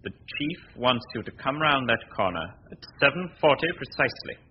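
An actor playing a brisk, commanding criminal doctor in a 1940s radio drama, giving precise, authoritative orders. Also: male voice, animated, close to an everyday voice. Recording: dull, noisy 1940s radio recording with rumble.

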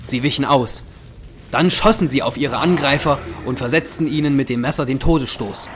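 A man's voice: medium pitch, moderate pace, background noise. A man reading scripted narration for an audio drama, telling the story in the past tense. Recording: loud music under the voice. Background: loud dramatic music.

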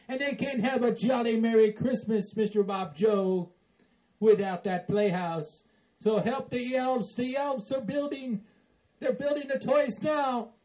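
A man's voice: extremely high pitch, a little mellow, low-quality recording. A man playing a cheerful, Santa-like boss of the elves who coaxes in a sing-song way. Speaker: comedy character.

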